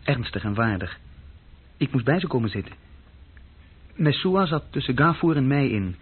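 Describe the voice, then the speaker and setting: A man's voice: medium pitch, sing-song, normal speed, husky. An actor playing an uneasy, insecure young junior clerk who tells his story hesitantly and intimately in a radio play. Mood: reflective. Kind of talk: radio play acting, intimate first-person narration. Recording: dull, muffled old radio recording.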